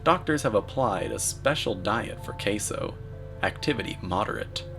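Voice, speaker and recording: A man delivering scripted commentary in a deadpan, mock-serious manner. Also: fairly low pitch, normal speed. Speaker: narrator, video commentator. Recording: voice-over with music underneath. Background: music.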